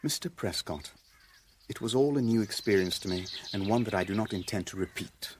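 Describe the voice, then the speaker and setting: An actor in a radio drama playing a cornered Levantine businessman, formal and measured, defending himself with wounded dignity. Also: on the low side, a little hiss or hum, natural voice. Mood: defensive.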